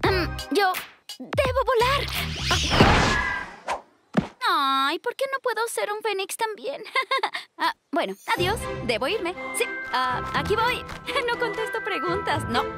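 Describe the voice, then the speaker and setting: High, flustered scheming teenage girl. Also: heavily acted. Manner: flustered, animated, halting. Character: scheming but awkward teenage fairy-tale girl. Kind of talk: animated cartoon dub acting. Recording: music under the voice.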